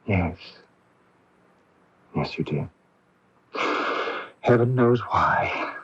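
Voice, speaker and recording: A kind young medical intern with a husky, lowish voice, gently evasive and halting as he soothes. It is 1980s radio drama, heard through a dull, lo-fi broadcast recording. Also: animated, full character voice. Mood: gentle, uneasy.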